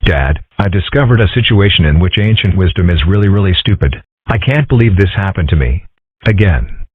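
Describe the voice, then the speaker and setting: A man's voice: low voice, expressive, a little fast, slight background noise. A man voicing a glum young fox boy in a fan comic dub, with a sardonic, put-upon delivery. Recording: dry, phone-like lo-fi sound.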